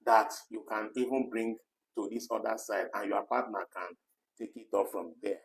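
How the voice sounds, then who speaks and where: A man's voice: fairly low pitch, fairly even intonation, normal speed, vocal fry, rough recording. A man co-hosting a casual live discussion, chatty and full of "you know" fillers, reading out viewer comments. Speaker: co-host of an online talk program.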